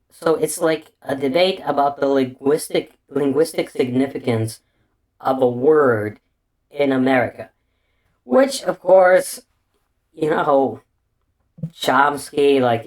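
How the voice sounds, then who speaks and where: A man living in Bulgaria with an unusual accent, rambling through a casual, crude commentary full of restarts and fillers. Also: mid-range voice. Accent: unusual non-native English accent.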